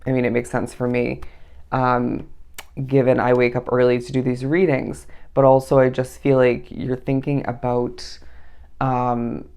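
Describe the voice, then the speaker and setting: Tarot reader with a raspy, gravelly, tired voice. Casual, musing delivery, keeps checking in with the listener. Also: mid-range voice, completely flat delivery, measured pace, darkish tone. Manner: meandering, musing, often asks the listener "okay?". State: tired, up at three in the morning. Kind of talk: daily tarot reading to camera.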